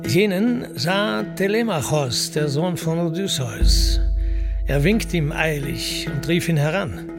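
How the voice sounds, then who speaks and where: A man narrates an ancient epic with slow, solemn weight. His voice sits a little high and carries a marked gravelly creak. The delivery is declamatory literary recitation, with loud music running underneath. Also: expressive. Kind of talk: dramatic literary recitation of classical verse in a radio play.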